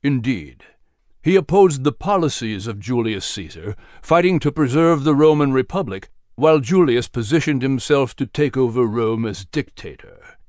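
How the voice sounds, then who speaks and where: A man voicing a grave, wise mentor in a storybook drama, speaking solemnly and deliberately. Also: medium pitch, expressive, a little mellow, no echo, clean studio sound, lightly acted. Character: grave, wise male mentor guiding through history. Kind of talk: storybook character voice.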